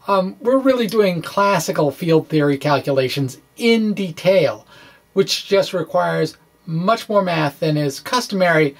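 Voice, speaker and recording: A person reading a physics blog aloud as a science explainer, with deliberate, theatrical phrasing and informal asides. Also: mid-range voice, expressive.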